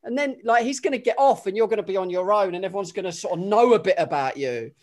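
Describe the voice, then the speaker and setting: A man talks casually as a podcast guest. His delivery is halting and blunt, with an exasperated edge as he circles back over his words. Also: high-pitched, animated, a little mellow. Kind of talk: casual chat.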